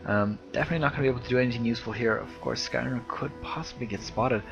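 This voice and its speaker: Soft-voiced Irish man commentating a game. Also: mid-range voice, very fast, vocal fry, muffled recording, over music. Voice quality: soft, slightly lispy voice. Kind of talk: live video game commentary. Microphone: headset close to the mouth.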